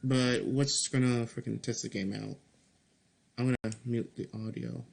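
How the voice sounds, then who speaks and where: Slow-paced man in a casual devlog. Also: medium pitch, fairly even intonation, vocal fry, slightly rough. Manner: halting, repeats and restarts phrases; mild swearing as emphasis. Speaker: game developer making a devlog. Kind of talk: casual screen-recorded commentary, reading and answering feedback.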